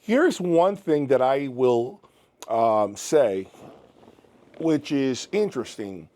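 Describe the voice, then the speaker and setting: A man's voice: mid-range voice, animated, slow pace, dark tone. A man reviewing audio speakers in an unscripted spoken review. He thinks aloud as he listens and often asks viewers to share their views. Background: music playing on the speakers.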